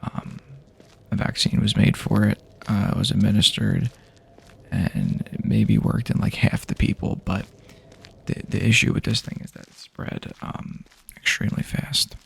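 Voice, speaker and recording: A man doing improvised ASMR roleplay as an easygoing survivor guiding a friend, speaking to the listener in halting, casual phrases. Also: lowish voice, fairly even intonation. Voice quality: husky, gravelly, soft-edged voice. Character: easygoing survivor guiding a friend through post-apocalyptic wasteland.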